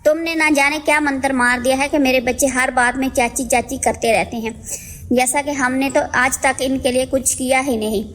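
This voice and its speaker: A woman playing a jealous elder sister-in-law and mother in an animated story, sarcastic, scolding and accusatory. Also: sits high, moderate pace, faint music behind. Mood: scolding, bitter. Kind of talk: Hindi animated moral story dialogue.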